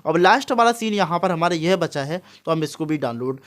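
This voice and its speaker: A man's voice: a little high, expressive, a little fast, a bit bright. A man hosting an informal, step-by-step screen tutorial. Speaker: tutorial host.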